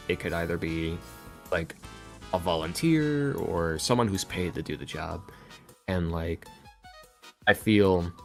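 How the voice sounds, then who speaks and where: Very deep-voiced man, candid and hesitant. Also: vocal fry, a bit bright. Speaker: podcast guest, ASMR roleplay audio creator. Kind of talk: casual reflective interview answers.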